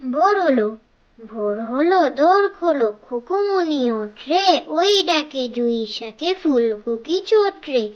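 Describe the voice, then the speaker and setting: A performer voicing a young child who leads cartoon nursery rhymes in a measured, repetitive sing-song. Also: high voice, expressive, lo-fi sound.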